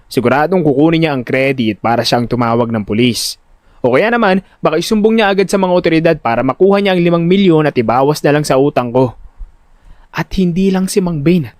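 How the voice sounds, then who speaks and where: A man narrating a first-person audio drama in an expressive, suspenseful, scripted storytelling style. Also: on the high side, fairly quick, no room sound, studio quality.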